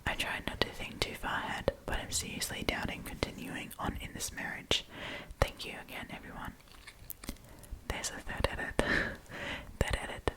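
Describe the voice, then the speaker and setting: A woman hosting an ASMR storytime, reading online stories aloud in a soft, breathy whisper. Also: normal speed, poor audio. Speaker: host of an ASMR storytime channel.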